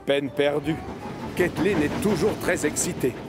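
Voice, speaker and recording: A man's voice: on the high side, a little slow. A man narrating a children's cartoon from a script as its storyteller. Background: background music.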